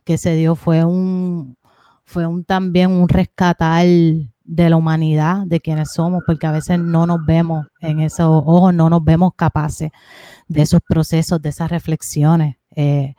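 Woman, halting, many restarts, flat tone, mellow, dry sound. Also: low voice, no echo. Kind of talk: casual conversation.

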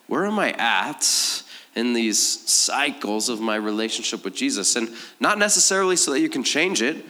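A man preaching to a congregation as a pastor, in a conversational, informal style with a teasing, self-deprecating manner. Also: sharp tone. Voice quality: slightly deeper voice than usual. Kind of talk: conversational sermon.

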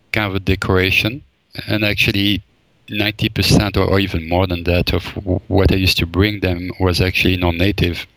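A man's voice: low voice, dry recording, rough recording. A man from Belgium, a forager and chef, answering interview questions thoughtfully, with many fillers and restarts. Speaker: forager and wild food chef, guest.

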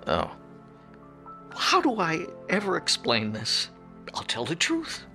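A man's voice: fairly high pitch, wildly swooping pitch, takes it slowly, gravelly, over music, heavily acted. An actor in a radio play playing an anxious, bewildered museum curator in his thirties, stammering and flustered. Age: 30s.

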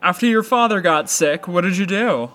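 A supportive, patient male friend in an audio drama. His voice sits high and moves expressively, with an encouraging, soft-hearted manner. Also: lively intonation, moderate pace. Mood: gentle concern. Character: gentle, patient male listener, supportive companion.

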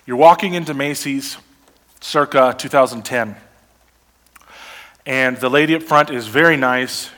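A man preaching a sermon as pastor, animated and full of rhetorical questions to the congregation. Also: mid-range voice.

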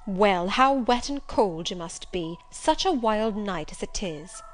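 A woman's voice: medium pitch, animated, slow, faint music behind. A woman voicing a stern, suspicious elderly female servant in an audiobook, blunt, curt and scolding. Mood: flustered, scolding. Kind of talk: audiobook character voice.

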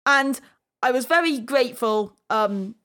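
High-voiced woman chatting on a podcast. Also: lively intonation, a little slow, fairly bright tone, clean tone. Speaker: podcast host. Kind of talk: casual podcast discussion.

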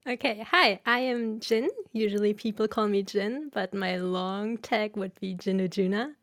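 Woman in her 20s, guest on a gaming podcast, soft-spoken, keeps it short. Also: medium pitch, steady tone, measured pace. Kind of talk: casual gaming podcast chat.

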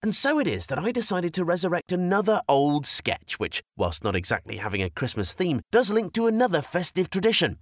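A man's voice: high voice, very animated, brisk, few pauses, dry recording, studio quality. A man hosting a podcast with wry, self-mocking, scripted comic narration. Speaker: podcast host.

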